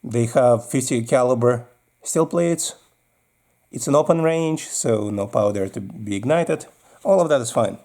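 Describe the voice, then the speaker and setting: A man's voice: medium pitch, unhurried, darkish tone. A man with a Russian accent giving practical, casual instructions as a video host, explaining while handling his gear.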